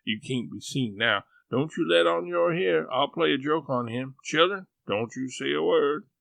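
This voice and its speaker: A man's voice: expressive, normal speed, vocal fry, fairly bright tone, no echo, full character voice. A man voicing a fretful old farm wife. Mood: hushed, scheming. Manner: breathless, gushing, high-strung. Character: fretful, fussy older farm woman, excitable.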